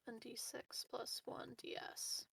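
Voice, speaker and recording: A woman's voice: fairly high pitch, level tone, slow pace, creaky, a bit bright, husky, whispered. A woman playing a tabletop roleplaying game, talking casually through her turn.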